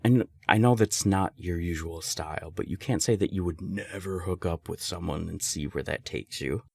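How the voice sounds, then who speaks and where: Man playing a young serial-dater friend for audio roleplay: low gravelly voice, candid, emphatic, secretly smitten. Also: normal speed, darkish tone, full character voice. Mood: playful arguing. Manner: conversational, hesitant, emphatic swearing. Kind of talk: intimate audio roleplay acting.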